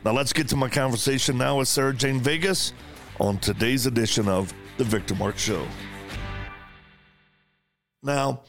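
Gravelly-voiced male talk show host. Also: mid-range voice, normal speed, pauses often, music in the background. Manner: enthusiastic, repeats words when thinking. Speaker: podcast host. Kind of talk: conversational interview.

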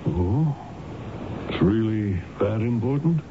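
An American actor playing an earnest, courteous, persistent lawyer and husband in a radio drama, speaking in a polite, measured, probing manner. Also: male voice, on the low side, animated. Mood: eager. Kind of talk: old-time radio drama acting. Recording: noisy, narrow-band old radio recording.

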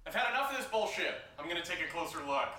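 A high, sharp-voiced young man, played by an actor, sarcastic and fed up, rants with wild swings of pitch. He swears freely and puts on mock cheer, as in an audio drama, in an echoey room. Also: very animated, rapid-fire, heavy background noise. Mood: exasperated. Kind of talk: audio drama acting as a livestream rant.

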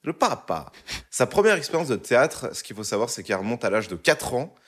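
A man's voice: medium pitch, very animated, brisk, fairly bright tone. A young man co-hosting a casual podcast, telling a story in a loose, joking way with lots of fillers. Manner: jokey, loose delivery with many fillers. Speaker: podcast co-host presenting a segment. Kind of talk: casual chat.

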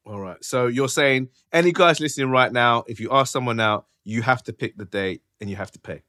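A man's voice: medium pitch, brisk, pushed and bright, dry recording, studio quality. A young man hosting a dating podcast, teasing his guest and laughing often. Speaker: podcast host. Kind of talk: casual chat.

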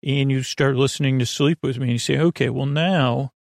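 A man hosting a bedtime podcast in a casual, meandering monologue, halting, trailing off and circling back, with a gravelly voice. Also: mid-range voice, fairly even intonation, no room sound, clean studio sound. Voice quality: creaky, gravelly. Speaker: podcast host.

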